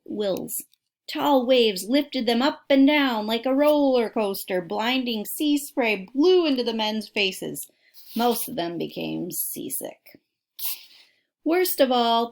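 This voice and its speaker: Woman reading a story aloud, teacherly and expressive. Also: mid-range voice. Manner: dramatic emphasis, addresses listeners directly. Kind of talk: expressive read-aloud of a children's nonfiction story with asides. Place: at home.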